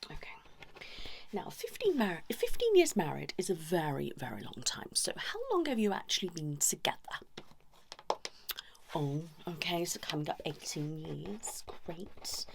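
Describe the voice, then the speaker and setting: A woman's voice: deep voice, very animated, unhurried, gravelly, background noise, exaggerated voice. A British woman playing a cheeky, blunt couples therapist in an improvised, whispered ASMR roleplay, rambling with hesitant asides. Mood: matter-of-fact, teasing.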